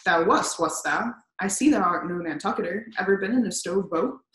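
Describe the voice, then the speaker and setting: Brief: woman doing a blustering, suspicious old sea captain, teasing and gruff, in an echoey room. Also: fairly low pitch, moderate pace, fairly bright tone, lightly acted. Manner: blustering, teasing, archaic speech.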